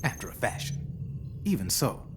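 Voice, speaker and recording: An actor playing a smug, worldly arms dealer in a video game, explaining things in a cocky, folksy way. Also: male voice, mid-range voice, sing-song, moderate pace, noisy background, lightly acted. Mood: smug, emphatic. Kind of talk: cinematic video game acting, expository dialogue.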